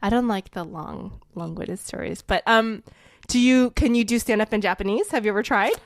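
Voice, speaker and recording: A woman's voice: mid-range voice, moderate pace, dry recording, studio quality. A woman hosting a casual interview podcast, a chatty storyteller with no accent who grew up speaking Vietnamese at home. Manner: chatty storyteller, acts out quotes with big jumps in voice. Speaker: podcast host.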